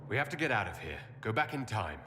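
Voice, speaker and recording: An actor playing an earnest, steady, resolute heroic leader in a video game. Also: male voice, lowish voice, quick pace. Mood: worried. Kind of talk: dramatic video game acting. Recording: echoing hall, faint ambient noise.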